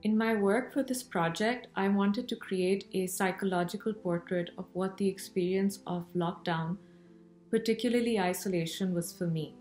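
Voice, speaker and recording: A woman's voice: medium pitch, moderate pace, darkish tone, faint music behind. A woman from Islamabad, a visual artist, reading a reflective statement about her lockdown work. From Islamabad, Pakistan. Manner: reflective, read aloud. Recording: echoey room.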